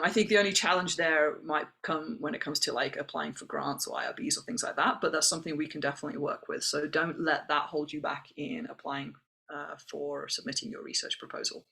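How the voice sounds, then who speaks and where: A woman's voice: low voice, gravelly, bright, forceful tone. A woman hosting an online information session, answering questions in an accommodating, explanatory way. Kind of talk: spoken Q&A answering chat questions. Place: online video call.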